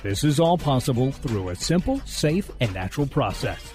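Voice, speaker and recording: A man's voice: medium pitch, sing-song, normal speed, a little mellow, soft background music. A man reading a scripted advertisement as an announcer. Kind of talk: scripted ad read.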